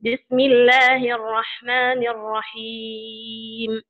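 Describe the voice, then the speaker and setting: A woman student slowly reciting verses in an even chant during an online class. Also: a little high, faint music behind. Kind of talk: Quran recitation. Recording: tinny online call audio.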